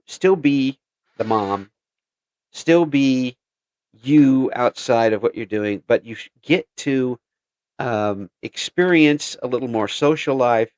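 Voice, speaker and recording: A man's voice: medium pitch, slow, darkish tone, no echo, highs cut off. Chatty man hosting an interview, dry sound. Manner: chatty, laughs often, trails off and restarts. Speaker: interview host. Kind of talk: casual interview chat.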